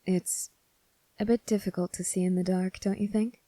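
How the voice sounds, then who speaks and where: A woman playing a kind, earnest young male cadet in an ASMR roleplay, speaking softly and politely to the listener with gentle reassurance. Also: medium pitch, fairly even intonation, moderate pace, no echo, natural voice.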